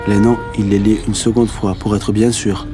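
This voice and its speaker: A man's voice: fairly low pitch, flat delivery, normal speed, close to an everyday voice. A male actor playing a tender, resigned young narrator, telling his story in an understated, intimate first-person radio drama style. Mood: reflective, tender. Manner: reflective, understated. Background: music.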